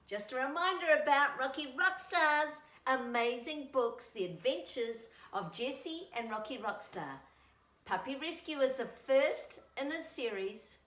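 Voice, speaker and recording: A woman hosting storytime, speaking in an animated, singsong way to young children as she reads a picture book. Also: high voice, reverberant. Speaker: storytime host and children's author.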